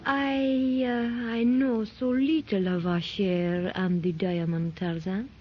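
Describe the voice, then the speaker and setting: An actress playing a nervous young woman hiding a secret in a 1930s radio drama, hesitant and breathy. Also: medium pitch, flat delivery, takes it slowly. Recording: dull lo-fi 1930s radio recording with faint hiss.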